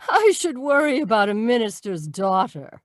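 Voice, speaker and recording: A woman's voice: on the high side, wildly swooping pitch, unhurried, dry recording, studio quality. A woman playing a selfish, scheming cafe singer with expensive tastes, world-weary, in old-time radio drama style. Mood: scornful, cynical.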